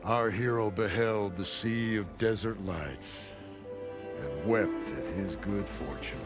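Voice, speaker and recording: A man's voice: fairly low pitch, slow, over loud music. An actor playing a theatrical adventurer in a video game who narrates his companions' deeds in florid epic style. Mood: grand, solemn. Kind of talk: video game dialogue acting.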